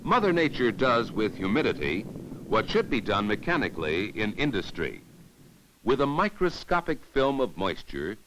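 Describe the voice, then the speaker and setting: Deep-voiced man narrating a 1950s instructional film. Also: big pitch swings, a bit bright, a little hiss or hum, poor audio. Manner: didactic, measured, formal delivery. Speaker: narrator and demonstrator of an educational safety film.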